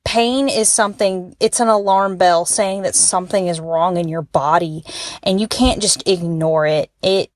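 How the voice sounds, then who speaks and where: A woman's voice: fairly low pitch, steady tone, measured pace, no room sound, studio quality. Young woman leaving a candid voicemail. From Alabama, United States. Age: young adult. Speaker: listener calling in. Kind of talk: personal voicemail account, conversational. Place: voicemail message recorded for a podcast.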